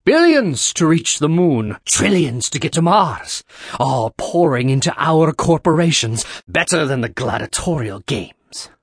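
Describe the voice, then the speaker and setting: A man's voice: lowish voice, expressive, normal speed, hardly any pauses, slightly rough, dry recording, clean studio sound. A man voicing a pompous, ghoulish corporate tycoon, declaiming with gloating relish in an audiobook. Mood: shouted gloating. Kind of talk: audiobook character acting.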